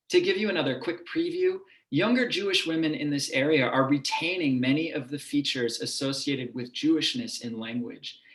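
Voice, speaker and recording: A man, a university professor, giving a measured, explanatory lecture to a public audience. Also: on the high side, fairly bright tone. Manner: measured and explanatory, with occasional restarts. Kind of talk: lecture presentation. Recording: echoey room.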